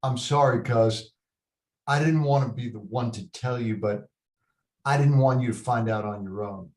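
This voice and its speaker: A man's voice: medium pitch, moderate pace, a little mellow, lightly acted. A man in a table read over a video call, playing a loyal, sorrowful friend who speaks in street slang. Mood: grave, sorry. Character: loyal, sorrowful male friend bearing bad news. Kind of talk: table read of a stage play, urban street talk.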